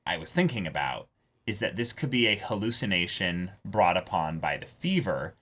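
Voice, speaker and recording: A man co-hosting a reaction show, casually analysing a story in an explanatory way, often halting to search for words. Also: sits low, animated, a bit bright. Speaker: co-host of an anime reaction show.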